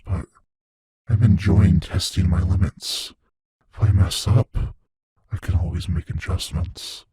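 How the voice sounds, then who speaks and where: A man playing a gleefully cruel monster from under the bed in an intimate ASMR audio roleplay, taunting and menacing in a breathy, whispery voice. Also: low-pitched, warm tone, heavily acted. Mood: mocking, playful menace. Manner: slow, taunting, menacing, savoring words.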